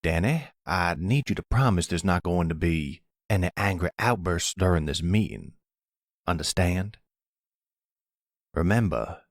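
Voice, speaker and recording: A man playing a calm, caring older grandfather and family patriarch, measured and gentle, in an audio roleplay. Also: low-pitched, dry recording, clean studio sound. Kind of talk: ASMR audio roleplay drama.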